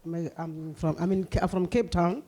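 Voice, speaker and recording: A woman's voice: on the low side, steady tone, moderate pace, warm tone, a little hiss or hum. A woman with a South African accent putting an unscripted question from the audience, in a hesitant, roundabout way. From Durban, South Africa. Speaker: audience member asking a question.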